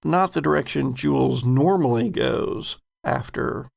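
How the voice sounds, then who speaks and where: A man runs a tabletop game with deliberate, suspenseful narration. His mid-range voice is full of vocal fry and he takes his time. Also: medium pitch. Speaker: game master.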